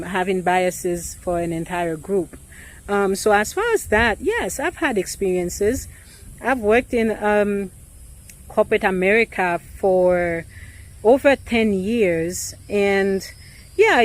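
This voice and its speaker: Woman, realtor, accent, casual talk to camera, frequent ums, mid-pitched voice. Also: rough recording. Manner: thoughtful, many ums and restarts.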